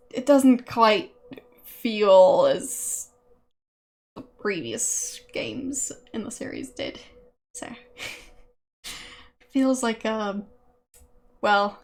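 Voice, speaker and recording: A woman whose first language is German, chatting casually with viewers while she streams, hesitant and full of fillers and small laughs. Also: fairly high pitch.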